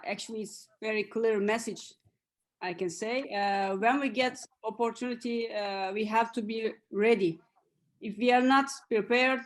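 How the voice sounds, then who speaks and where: A woman basketball referee speaking as a guest over a video call, in measured, deliberate phrases. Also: mid-range voice.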